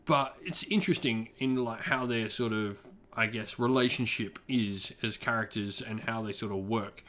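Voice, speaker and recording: A man hosting an informal review, talking conversationally to viewers and softening his points with small asides. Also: lowish voice, moderate pace. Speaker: channel host, book reviewer. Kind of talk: informal scripted-outline review commentary to viewers.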